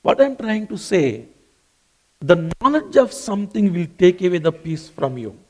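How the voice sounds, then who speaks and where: A man's voice: high-pitched, big pitch swings, moderate pace. A man whose first language is not English, preaching a sermon earnestly and repeating phrases for emphasis. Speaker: pastor.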